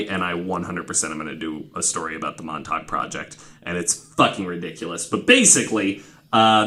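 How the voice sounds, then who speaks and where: Low-pitched man, casual podcast storyteller. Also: pushed and bright. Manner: informal, profane, wryly skeptical. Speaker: podcast host.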